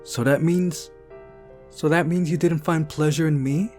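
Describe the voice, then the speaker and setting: Discontented, vulnerable young woman for a visual novel, higher and emotionally pointed, acted by a man, over music. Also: fairly even intonation, unhurried, a little mellow, natural voice. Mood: hurt. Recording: music behind the voice.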